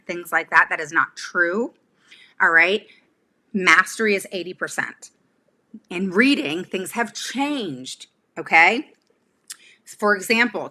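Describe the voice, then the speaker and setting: A woman's voice: on the low side, sharp tone. A woman in her forties giving a casual, chatty livestream lesson, speaking emphatically and often checking in with the listener. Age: 40s. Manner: emphatic, chatty, checks in with "all right?" and "okay?". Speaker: host, special education advocate giving advice. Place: small room at home, indoors.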